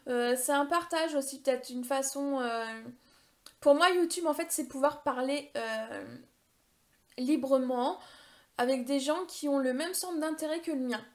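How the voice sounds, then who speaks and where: A woman's voice: sits high, a little slow, darkish tone. A woman in her thirties answering viewer questions in a casual vlog, chatty and rambling, with many hesitations and self-mocking humor. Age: 30s. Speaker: beauty YouTuber.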